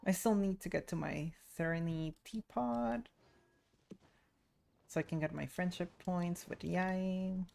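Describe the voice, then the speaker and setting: Creaky, unhurried woman streaming a game. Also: fairly low pitch, darkish tone. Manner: talks to herself while playing, short offhand remarks. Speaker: streamer, VTuber. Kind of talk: casual gameplay livestream chat. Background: video game music and sounds.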